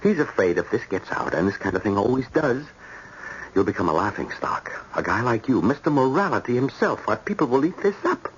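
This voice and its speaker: An actor playing a blunt, worldly brother-in-law in an old-time radio drama, earnest, emphatic and pressing. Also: male voice, medium pitch, very animated, brisk, close to an everyday voice. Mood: knowing, pointed. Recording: dull, slightly noisy old radio recording.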